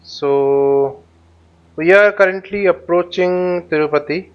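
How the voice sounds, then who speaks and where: A man with a fairly high, very clear voice talks through a flight simulator tutorial as he goes. His delivery is halting, with phrases repeated and reworded. The audio is slightly dull and lo-fi. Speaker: flight simulator instructor and channel host. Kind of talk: live tutorial commentary while flying a simulator.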